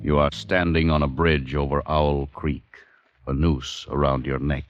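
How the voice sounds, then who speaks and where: An extremely deep-voiced man gives ominous, measured narration in 1940s radio style. He speaks slowly over clearly audible music in an old broadcast. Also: little pitch movement, lo-fi sound.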